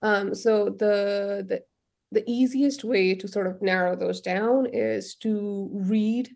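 Gravelly-voiced woman. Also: mid-range voice, steady tone, slow pace, darkish tone. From Pakistan. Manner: thoughtful, many ums and restarts. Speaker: novelist, radio guest. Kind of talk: radio interview answers.

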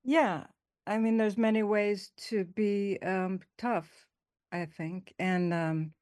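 A middle-aged woman poet talking in an interview, soft-spoken and halting, with frequent fillers and small laughs. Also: mid-range voice, steady tone, dry recording, clean studio sound. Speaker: poet and author, interview guest. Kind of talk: casual interview conversation.

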